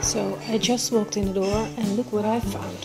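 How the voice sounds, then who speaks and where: A woman's voice: medium pitch, fairly even intonation, moderate pace, creaky. A middle-aged woman with a Dutch accent, chatting casually and a little hesitantly to a camera as a vlog host. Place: inside a car, later in a thrift shop. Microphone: camera on dashboard or at arm's length. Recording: loud background music over vlog audio. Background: music.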